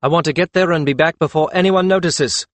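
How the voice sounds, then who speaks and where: A man voicing a decisive young male leader of dragon riders in a fantasy audiobook, enunciating deliberately as he directs others. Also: fairly high pitch, no echo, voice pushed up, close to an everyday voice. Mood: urgent, commanding. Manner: careful, deliberate enunciation, giving orders.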